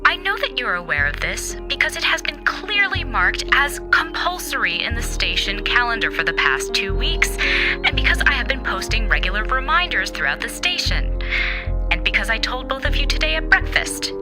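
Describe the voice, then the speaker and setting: An actress playing a stern, overzealous space station commander making official, pointedly sarcastic announcements in a radio drama. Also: female voice, a little high, big pitch swings, normal speed, music in the background. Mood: officious, pointed.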